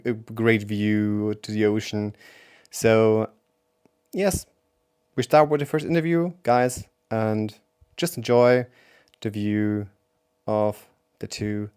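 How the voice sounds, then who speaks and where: Smooth low-voiced German man hosting. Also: a little mellow. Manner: hesitant, searching for words with many fillers. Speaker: podcast host. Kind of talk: casual interview.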